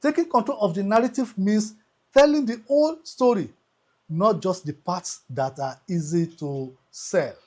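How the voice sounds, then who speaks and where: A man hosting a scripted motivational monologue, speaking emphatically like an orator, with deliberate repetition and pauses. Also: sits high, a little mellow. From Africa. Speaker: host.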